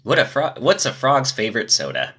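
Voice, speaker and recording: A young man reading joke after joke on a live stream, pitching his voice low from the diaphragm to spare his nasal voice. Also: breathy edge. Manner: rapid-fire joke delivery, lively.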